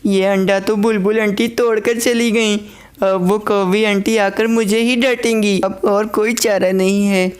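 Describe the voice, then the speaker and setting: A woman's voice: medium pitch, steady tone, unhurried, clear, resonant tone, pitched above the performer's own voice, natural voice. A woman voicing a timid, worried young female sparrow in a children's cartoon, with an earnest, pleading manner. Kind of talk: children's cartoon voice acting in Hindi.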